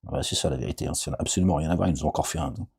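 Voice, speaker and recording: Middle-aged male commentator: deep dark rasp, meandering preachy chat that keeps checking in with his audience. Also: very fast. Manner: digressive, asks "d'accord?" often, jokey asides. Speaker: religious commentator. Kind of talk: informal Quran commentary, rambling.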